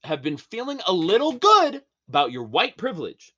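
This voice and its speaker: Husky high-voiced man ranting with swings. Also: huge pitch swings, normal speed, pushed and bright, dull audio. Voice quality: high-pitched, a little feminine. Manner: emphatic, profane, preachy rant with sudden high-pitched outbursts. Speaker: podcast guest. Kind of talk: casual podcast rant.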